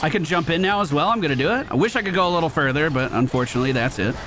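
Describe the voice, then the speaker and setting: A man's voice: a little high, lively intonation, racing, fairly bright tone, a little hiss or hum. A male gaming streamer giving casual live commentary, chatting and thinking aloud as he plays. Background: game music.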